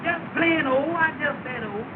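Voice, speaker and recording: High squeaky gravelly voice, stammering, baffled young simpleton, tinny hissy 1930s film sound. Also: male voice, moderate pace. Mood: puzzled. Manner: stammering, hesitant, stubborn. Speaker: comic lead in a 1930 film.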